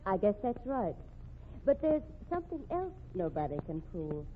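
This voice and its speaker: A woman's voice: medium pitch, very animated, normal speed, lightly acted. Woman acting defiant cornered suspect, tinny noisy recording. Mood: cool, defiant. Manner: sharp, melodramatic, accusing. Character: defiant, cornered, hot-tempered female murder suspect. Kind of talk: old radio mystery acting. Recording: phone-like, noisy old radio recording.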